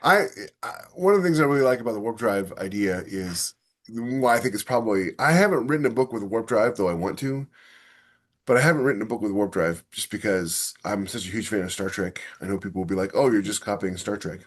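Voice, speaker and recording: A man's voice: on the low side, a little fast. A man co-hosting a casual science fiction podcast, talking with enthusiasm and acting out imagined quotes as he explains. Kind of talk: casual discussion of sci-fi technology.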